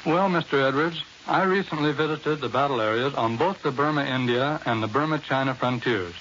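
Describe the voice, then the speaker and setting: Male American war correspondent, formal measured phrasing, medium pitch, muffled 1940s radio with light hiss. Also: fairly bright tone. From United States. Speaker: war correspondent, interview guest. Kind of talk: scripted 1940s radio news report. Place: New York radio studio. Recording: hissy, band-limited 1940s radio recording.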